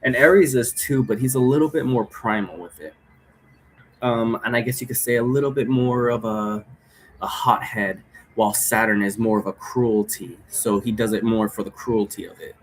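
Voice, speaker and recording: A man's voice: medium pitch, normal speed. A man in his thirties talks through rules informally, with a mid-range voice. He rambles, trails off and picks the thread up again, unscripted. There is a light layer of noise in the recording. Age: 30s. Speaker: game creator explaining rules. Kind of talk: casual, unscripted explainer talk. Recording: noticeable background noise.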